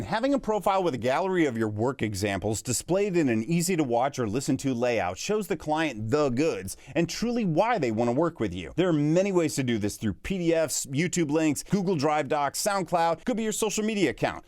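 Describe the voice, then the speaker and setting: Lively bass-voiced man coaching. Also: no echo, clean studio sound. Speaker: voice artist teaching freelancing. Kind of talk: scripted tutorial narration.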